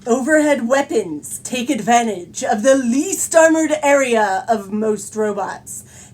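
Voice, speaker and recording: A young woman reads a children's book aloud at home. Her voice is high and she takes the words slowly. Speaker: robot combat team member. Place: home room with couch.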